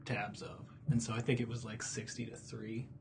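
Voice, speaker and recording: A man talking as a guest on a design podcast, explaining things in an even, matter-of-fact way. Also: mid-range voice, normal speed, gravelly, background noise. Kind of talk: casual podcast chat.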